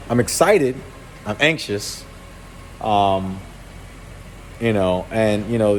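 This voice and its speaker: A man in his thirties talks through a car build in a relaxed, off-the-cuff way, stopping to correct himself as he goes. His voice sits at an ordinary middle pitch, and a faint hum of shop noise runs behind him indoors. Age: 30s. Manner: casual, with restarts and self-corrections. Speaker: car channel host. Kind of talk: casual shop-floor vlog. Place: auto repair shop, indoors.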